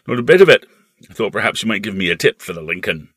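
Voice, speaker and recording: A jovial gentleman uncle, acted out by a male narrator for a storybook. He talks in a hearty, booming, teasing way, amused by the young. The recording is very dry. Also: big pitch swings, fast talker, slightly rough, dry recording, exaggerated voice.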